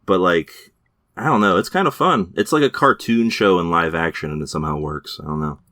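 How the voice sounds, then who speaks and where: Very deep-voiced man reviewing TV, halting. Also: pushed and bright, dry recording, clean studio sound. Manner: hesitant, lots of fillers and restarts. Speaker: podcast co-host. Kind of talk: casual chat reviewing TV shows.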